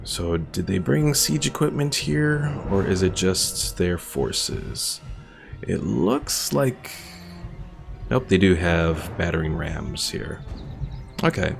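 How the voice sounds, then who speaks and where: A man's voice: low voice, animated, takes it slowly, gravelly. A man giving casual live gameplay commentary as a streamer, thinking aloud as he plays. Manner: thinks aloud while playing. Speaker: game streamer. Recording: game music behind voice. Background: video game music.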